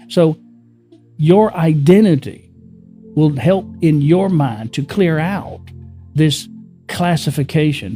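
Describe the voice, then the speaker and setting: A man's voice: a little high, dark tone. A man giving a casual spiritual teaching, often repeating himself, chuckling and asking the listener rhetorical questions. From born in the US Northeast, raised in California. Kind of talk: unscripted spiritual teaching to camera.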